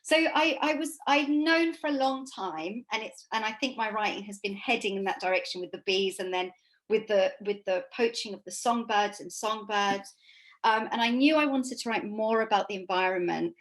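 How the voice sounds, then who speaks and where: A woman answers interview questions in an informal, conversational way. She is reflective and halting, working her thoughts out as she speaks, at a middling pitch. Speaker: author being interviewed.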